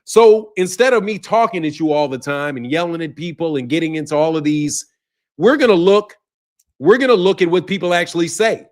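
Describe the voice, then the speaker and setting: A man ranting about boxing, forceful and full of rhetorical questions. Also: fairly high pitch, a little fast. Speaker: boxing commentator. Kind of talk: animated rant.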